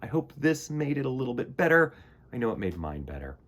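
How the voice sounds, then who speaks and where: A man hosting a children's storytime, reading and chatting to young listeners in a folksy, emphatic, expressive way. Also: medium pitch, fairly quick. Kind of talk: animated storybook narration and friendly address to children.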